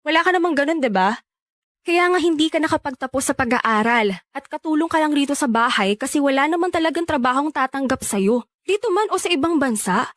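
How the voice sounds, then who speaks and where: High-pitched haughty older sister. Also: female voice, expressive, a little fast, no room sound, studio quality. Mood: scornful. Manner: condescending, scornful. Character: haughty, cutting older sister, belittling and bossy. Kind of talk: radio drama acting.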